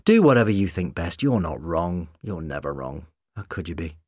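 A man playing a quick-witted, defiant but kind doctor in an audiobook adventure, speaking emphatically. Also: medium pitch, sing-song, a bit bright, no room sound, studio quality. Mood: quiet, sad.

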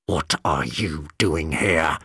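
Slow, gruff, sarcastic shaggy monster. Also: male voice, low voice, a bit bright, dry recording, studio quality, exaggerated voice. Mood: gruff, casual.

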